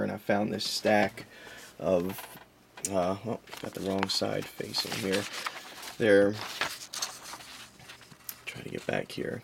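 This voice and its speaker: A man's voice: lowish voice, vocal fry, a little hiss or hum. A man talking in a casual, rambling, unscripted vlog style, folksy and self-deprecating as he wanders off on tangents. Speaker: vlogger and online reseller of vintage collectibles.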